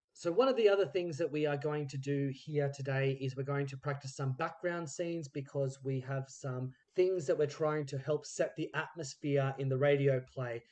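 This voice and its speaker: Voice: mid-range voice, darkish tone. Podcast host for casual interview and improv games, middle-register voice.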